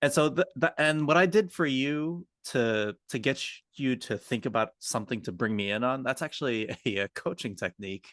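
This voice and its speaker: A man's voice: mid-range voice, normal speed, few pauses, a bit bright, no room sound, clean studio sound. A man co-hosting a podcast as a communication coach, talking off the cuff and explaining points with example dialogue. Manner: explanatory, often illustrates points with hypothetical quoted dialogue. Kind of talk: unscripted coaching discussion.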